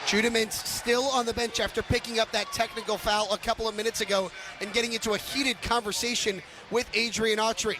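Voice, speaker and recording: A man's voice: high voice, flat delivery, fairly quick. A man calling live basketball play-by-play for radio with a projected, clipped delivery, arena noise behind him. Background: basketball arena ambience.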